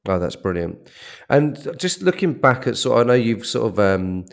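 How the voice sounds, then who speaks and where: A man's voice: medium pitch, very animated, normal speed, creaky. A man hosting a podcast, introducing his guest with enthusiasm and many repeated words and fillers. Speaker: podcast host.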